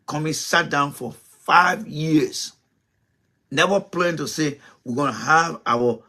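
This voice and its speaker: A man delivers a political monologue as host of his own show. His voice sits at an ordinary middle pitch. He talks with passion, fires off rhetorical questions and circles back to repeat his points. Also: a bit bright.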